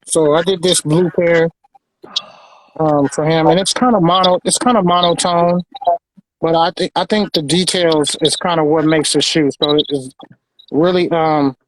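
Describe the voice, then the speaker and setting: Higher-pitched American man, casual show-and-tell. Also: fairly even intonation, moderate pace, poor audio. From Los Angeles, United States. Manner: repeats phrases, informal slang. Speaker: sneaker collector and designer presenting his pairs.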